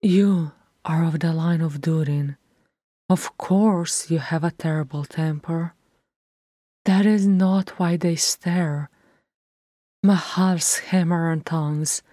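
Woman voicing a stately, low-voiced dwarf mother. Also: fairly even intonation, slow, warm tone, no echo, clean studio sound. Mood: fond, earnest. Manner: stately, firm, affectionate. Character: firm, loving dwarf queen, mother of grown son. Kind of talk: fantasy audiobook character voice.

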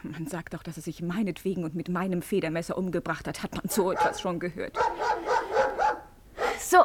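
An actress playing a guarded, reproachful young woman in a 1950s German radio play, measured and skeptical. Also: lowish voice, fairly even intonation, heavy background noise, natural voice.

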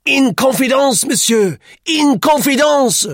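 A man's voice: very high voice, lively intonation, takes it slowly, no room sound, clean studio sound, voice pushed up, full character voice. A man voicing a pompous, indignant old French businessman in an audiobook, stern and declamatory.

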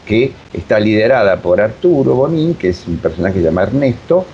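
An Argentine man explaining his work as a director in an interview, haltingly and repeating words as he goes. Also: fairly low pitch, expressive. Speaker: radio drama director being interviewed. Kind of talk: unscripted interview answers. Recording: dull lo-fi audio, faint hiss.

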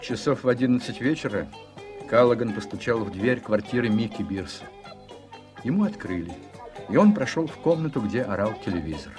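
A man's voice: medium pitch, animated, fairly quick. A man narrating a radio play in scripted literary style. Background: guitar music.

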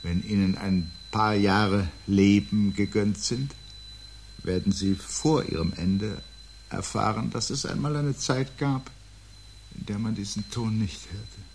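Brooding, low-voiced man facing doom. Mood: grim, resigned. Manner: grave, deliberate, literate and reflective. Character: resigned, brooding man awaiting the end of the world. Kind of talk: 1970s German radio drama acting. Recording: 1970s radio recording with loud hum.

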